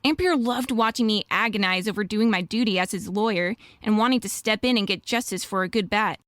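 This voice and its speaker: A woman's voice: medium pitch, fairly quick, fairly bright tone, no echo, studio quality, natural voice. A woman playing an earnest, admiring female wolf lawyer in a radio drama, speaking in an eager, articulate way. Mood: earnest, confiding.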